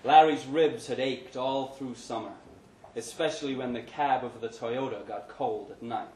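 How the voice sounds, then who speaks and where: A man giving a measured, dramatic live reading of a literary short story. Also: mid-range voice, steady tone, darkish tone. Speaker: story reader. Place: pub event room, live reading. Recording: echoey pub room, noticeable background noise.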